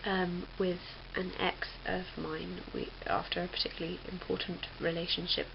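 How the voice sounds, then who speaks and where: Young woman vlogger, rambling casual chat, gravelly low voice. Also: level tone, normal speed. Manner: rambling, chatty, many fillers. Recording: dull lo-fi webcam audio with faint hiss.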